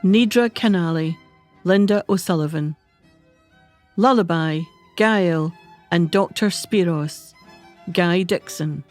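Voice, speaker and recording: A woman's voice: on the low side, slow, long pauses, darkish tone. A woman reading scripted credits as an announcer. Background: violin music.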